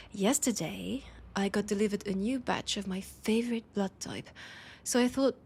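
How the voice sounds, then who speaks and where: A woman with a French accent playing a poised, teasing vampire and wary old rival in an intimate audio roleplay, slipping into near-whispers. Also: darkish tone. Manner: slow, low-key teasing with breathy, near-whispered phrases.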